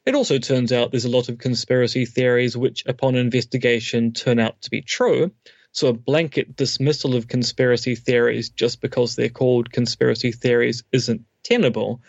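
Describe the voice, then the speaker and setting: A man's voice: medium pitch, flat delivery, normal speed, vocal fry, no room sound, lo-fi sound. A man, a philosophy academic, explaining his views at length in an interview with dry humour. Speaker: guest academic, philosophy professor.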